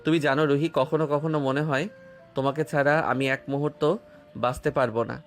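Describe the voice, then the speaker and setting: A man playing an affectionate, playful young husband in a romantic audio drama, speaking in a tender, teasing way, with soft music underneath. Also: fairly high pitch, steady tone, a little fast, lightly acted.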